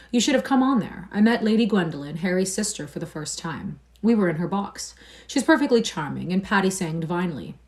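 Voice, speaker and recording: A woman reading as a bored, vain young gentleman. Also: medium pitch, natural voice. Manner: languid and dismissive.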